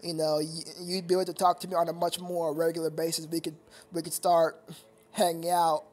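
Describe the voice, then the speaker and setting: A man's voice: a little high, little pitch movement, brisk. A young man chatting casually with viewers on his live stream, rambling and stammering with frequent laughter.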